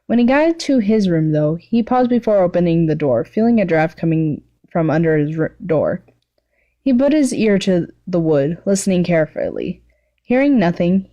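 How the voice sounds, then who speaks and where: Female story narrator, warm relaxed tone, slightly low pitch, amateur fan fiction reading. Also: highs cut off. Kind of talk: fan fiction read aloud.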